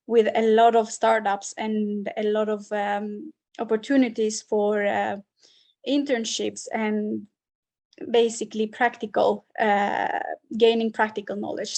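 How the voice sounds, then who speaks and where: A woman's voice: medium pitch, creaky, a little mellow. A young woman from Syria and Sweden answering as a student panelist, hesitant and with many fillers.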